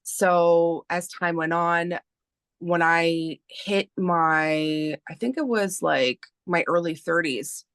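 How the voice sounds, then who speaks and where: Woman in her thirties, from Canada, native Spanish speaker; low-ish voice, unhurried, lots of ums, dry close sound. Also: fairly bright tone, clean tone, no echo. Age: 30s. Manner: slow, hesitant, many fillers. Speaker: guest, artist and publisher. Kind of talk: casual interview talk.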